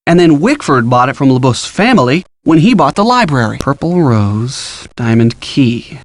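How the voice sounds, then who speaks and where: An upbeat young American man coaches a detective through an adventure game. His voice sits a little high and moves in a lively way, casual and encouraging, with playful asides. The sound is close and dry. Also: fairly quick, no echo. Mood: curious, encouraging. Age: young adult. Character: helpful upbeat college-age boyfriend giving detective hints. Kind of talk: scripted game hint dialogue.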